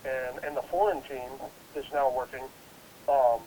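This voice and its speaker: A man's voice: mid-range voice, unhurried, mellow, slight background noise, rough recording. A congested man recovering from a head cold, talking casually and rambling with many restarts. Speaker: guest investigator. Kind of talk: casual interview.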